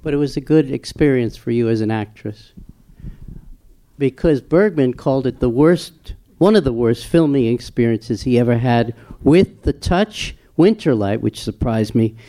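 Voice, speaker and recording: A man's voice: medium pitch, lively intonation, moderate pace, dark tone. A man conducting an interview in an informal, chatty way, adding knowledgeable asides.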